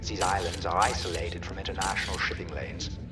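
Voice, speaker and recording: Man acting game villain scientist, deep voice, solemn, grandiose, deliberate, distant sound, heavy music. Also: flat delivery, natural voice. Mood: solemn, obsessed. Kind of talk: video game monologue. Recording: distant voice under loud music.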